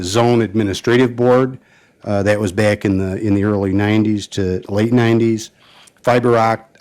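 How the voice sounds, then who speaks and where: A man reading out a colleague's record at a county board meeting, pausing often with fillers. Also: fairly low pitch, darkish tone. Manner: halting, many "uh" and "um" fillers. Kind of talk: formal tribute speech at a meeting.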